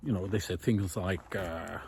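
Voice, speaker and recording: A man talking reflectively while walking on a forest path outdoors, pausing often with fillers and lifting his voice on key points. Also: low voice, steady tone, vocal fry, a little mellow, breathy edge. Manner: thoughtful, many pauses and fillers, rising emphasis on key points. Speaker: nature video essayist.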